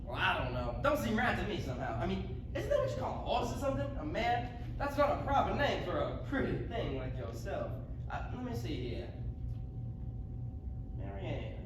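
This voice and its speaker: In a very echoey theatre, far from the mic, an actor plays a boastful Southern charmer of a man. His florid, folksy stage talk swings widely in pitch over noticeable noise. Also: sing-song, moderate pace. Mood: courting charm. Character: glib, boastful, silver-tongued traveling storyteller, a charming man. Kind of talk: stage play acting with folksy tall-tale flourish.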